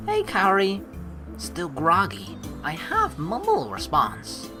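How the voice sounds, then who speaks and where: A woman's voice: medium pitch, huge pitch swings, takes it slowly, music in the background. A young woman doing a playful let's play, switching between casual commentary and theatrical narration read aloud.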